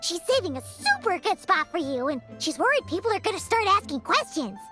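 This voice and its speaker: Tiny female fairy companion, game voice, squeaky high, wildly animated, excitable, chirpy, bold, music behind. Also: a little fast, heavily acted. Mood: surprised, eager. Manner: chirpy, exclaiming, refers to herself by name. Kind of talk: video game voice acting.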